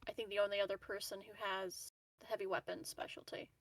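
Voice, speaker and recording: A woman playing in a tabletop game, giving short quiet replies, a little distant from the mic. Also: medium pitch, level tone, quick pace, no room sound. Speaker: player. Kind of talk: casual game chat.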